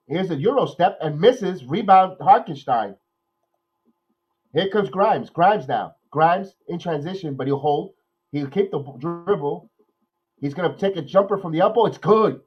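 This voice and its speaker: Nasal man calling a game excitedly. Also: fairly high pitch, breathy edge, dull audio. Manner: excited play calling, rambling opinion talk with restarts. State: nasal from a cold. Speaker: podcast host doing live play-by-play. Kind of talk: watch-along game commentary and chat.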